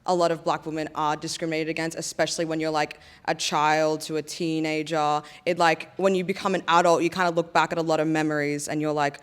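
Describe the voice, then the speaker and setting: A woman's voice: deep voice, flat delivery, brisk, hardly any pauses, clear, resonant tone. A young woman sharing her views in a casual panel discussion, talking reflectively with lots of "like" fillers. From Australia. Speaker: panel guest.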